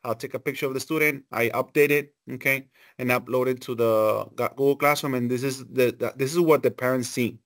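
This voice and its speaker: A middle-aged man giving an informal software walkthrough as a teacher on a video call, repeating himself in halting, non-native English phrasing. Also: mid-range voice, fairly even intonation. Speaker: elementary school teacher giving a training session.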